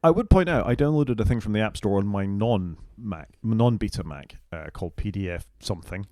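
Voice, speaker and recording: British man, low voice, rapid delivery, dry close sound, opinionated tech podcast co-host. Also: expressive, dark tone, no room sound. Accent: British English. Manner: fast-talking, opinionated, goes down the list item by item. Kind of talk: casual tech chat.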